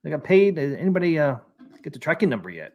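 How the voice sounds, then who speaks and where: A man's voice: on the high side, sing-song, fast, dull audio. Easygoing man reading stream comments. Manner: easygoing, reads out viewer comments. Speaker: live stream host. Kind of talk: casual live stream chat.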